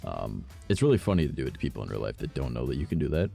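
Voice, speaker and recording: A man streaming a game, chatting casually and swearing a lot, his voice rough today, swinging between low mumbles and loud bursts. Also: sing-song, racing, faint music behind.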